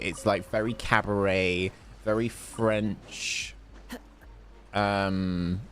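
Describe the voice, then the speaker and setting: A man's voice: fairly low pitch, a bit bright. A man streaming a game, chatting casually and rambling while answering chat questions. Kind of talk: casual gameplay commentary.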